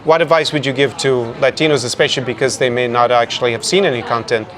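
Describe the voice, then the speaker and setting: A man hosting a casual podcast interview, chatty and quick with frequent restarts, recorded outdoors in a city. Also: medium pitch, fairly even intonation, a bit bright. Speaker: podcast host.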